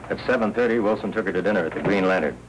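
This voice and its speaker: A man's voice: low voice, rapid-fire, close to an everyday voice. An American actor playing a businesslike henchman reporting to his boss in an old crime film. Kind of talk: 1940s film noir acting. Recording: faintly noisy old film soundtrack.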